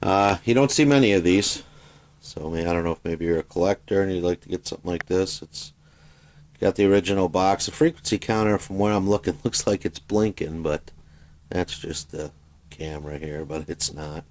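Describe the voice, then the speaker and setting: A man giving a casual, plain-spoken product demonstration as a private seller, in an unhurried, informal way. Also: sits low. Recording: noisy home video, steady background noise.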